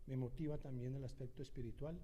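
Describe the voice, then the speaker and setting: A middle-aged Colombian man introducing himself as a social entrepreneur, halting with many filler sounds. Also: mid-range voice, steady tone, dark tone, big-room sound, highs cut off, slight background noise. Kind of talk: short personal introduction on a panel.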